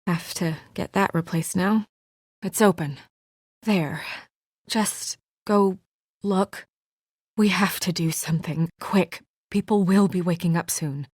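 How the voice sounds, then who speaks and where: Serious young lady official from a video game, kinda low voice, businesslike but worried, guarded, natural delivery, dry close sound. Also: slow pace, darkish tone, husky, no echo. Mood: tense, matter-of-fact.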